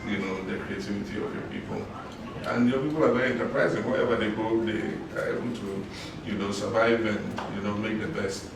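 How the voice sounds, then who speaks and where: A man speaking slowly and hesitantly, with many fillers. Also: lowish voice, fairly even intonation. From Ghana. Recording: distant mic in echoey room with noise.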